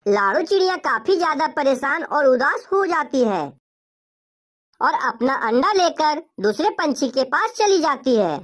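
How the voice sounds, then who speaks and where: Female narrator for a cartoon fable: high-pitched, lively rise and fall, scripted storytelling. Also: measured pace.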